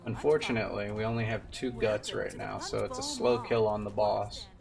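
A man's voice: fairly low pitch, fairly even intonation, moderate pace, gravelly, crowd noise. A man in his twenties giving casual commentary as he streams a video game. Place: indoor room at home. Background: game music.

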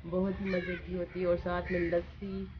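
A young woman from Pakistan with a slightly hoarse throat, chatting to viewers in a casual vlog while walking through fields and her village home outdoors. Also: fairly low pitch, little pitch movement, moderate pace. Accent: Punjab. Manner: chatty, addresses viewers often. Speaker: village vlogger. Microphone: phone camera held nearby. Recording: lo-fi phone audio with outdoor noise.

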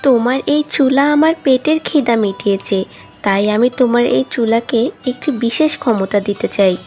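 Woman playing a high-voiced benevolent fairy. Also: normal speed, a little mellow, faint music behind, close to an everyday voice. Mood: benevolent, gracious. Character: benevolent fairy who changes people's fortunes. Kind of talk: children's fairy-tale cartoon.

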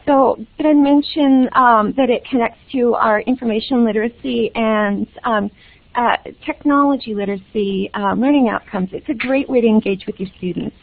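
A woman's voice: normal speed, vocal fry, no echo. A woman librarian giving an upbeat, enthusiastic webinar presentation over a phone-like connection. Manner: upbeat, enthusiastic sales-pitch delivery.

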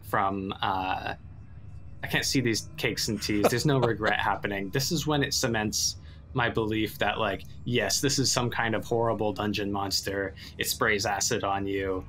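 Low-voiced man, halting casual gamer. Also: steady tone, fairly bright tone, slight background noise. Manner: halting, thinking aloud. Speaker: player in a tabletop roleplaying game. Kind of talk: casual, improvised game play.

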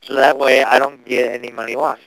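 A man's voice: mid-range voice, level tone, a little fast, vocal fry, a bit bright, dry recording. A young man making a casual prank phone call, asking slangy questions like a mock-earnest customer. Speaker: prank caller.

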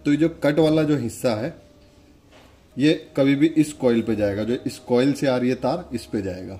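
A man's voice: mid-range voice, moderate pace, mellow, husky. A man narrating a casual, step-by-step product demonstration in an instructional way, pointing things out as he goes. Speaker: product demonstrator and channel host.

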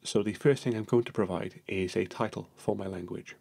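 Male tutorial narrator, lowish gravelly voice, a bit husky, steady step-by-step delivery. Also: fairly quick.

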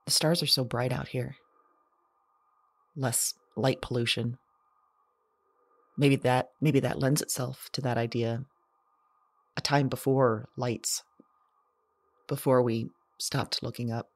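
Halting, slow-talking lonely woman played by an actress. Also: a bass voice, fairly even intonation, dry recording, heavily acted. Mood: wondering. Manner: halting, hesitant, hushed reflective delivery. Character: lonely, haunted woman keeping a nightly audio journal. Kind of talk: intimate confessional audio drama monologue.

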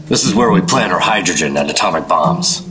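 A gruff 1950s secret agent. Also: male voice, low-pitched, animated, brisk. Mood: brusque. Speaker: Operative-132. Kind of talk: 1950s radio drama acting. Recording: music score underneath.

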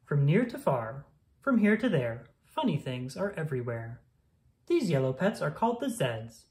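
A woman reading a rhyming children's picture book aloud in a playful, sing-song, expressive manner. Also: low-pitched, normal speed.

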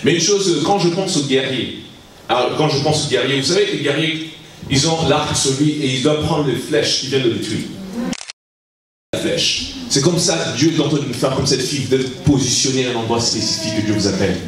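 A man in his thirties from Congo, preaching in halting French with many restarts, holding a handheld mic in a large church hall. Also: medium pitch, slight background noise. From Congo (Kinshasa). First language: English is his stronger language. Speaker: guest preacher. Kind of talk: sermon to young people. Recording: echoey hall, mic heard from a distance.

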